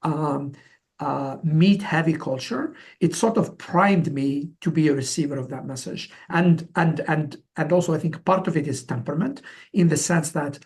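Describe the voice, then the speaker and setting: Guy in his 40s from algeria being interviewed, voice a bit high, keeps repeating words and restarting as he tells his story. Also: normal speed, darkish tone. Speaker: interview guest, company founder. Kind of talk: personal interview, conversational storytelling.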